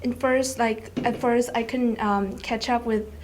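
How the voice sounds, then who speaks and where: A teenage girl from China talks casually in a fairly high voice, often pausing on fillers as she looks for her words. A faint hiss runs in the background. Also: fairly even intonation, a little mellow. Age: teens. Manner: hesitant, many "like" and "um" fillers. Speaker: student. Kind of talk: casual interview chat.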